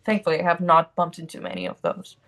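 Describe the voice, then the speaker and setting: A young woman from Brazil speaks with a light Brazilian accent in a relaxed interview. Her voice sits a little low for a woman, and she talks in loose, rambling sentences full of "like" and "um", laughing easily between thoughts. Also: fast talker. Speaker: guest, actor. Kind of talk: casual interview chat.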